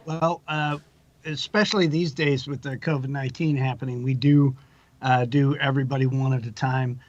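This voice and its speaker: A man's voice: mid-range voice, little pitch movement, moderate pace, dull audio. A man speaking as a producer guest in a casual interview, rambling through anecdotes and explanations.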